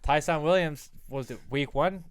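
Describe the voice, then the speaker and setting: A man's voice: a little high, moderate pace, clear, resonant tone, no echo. A man hosting a fantasy football podcast, talking in casual, blunt sports banter.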